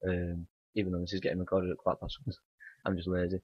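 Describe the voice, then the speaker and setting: A man with a croaky morning voice, rambling through casual gameplay commentary with many ums and uhs. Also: deep voice, completely flat delivery, quick pace, no echo. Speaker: gaming channel host. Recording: dry, dull lo-fi home mic.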